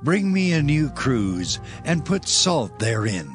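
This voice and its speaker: An actor playing an earnest, fervent male prophet in a dramatized scripture, declaiming in a drawn-out way. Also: medium pitch, big pitch swings, soft background music. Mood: commanding.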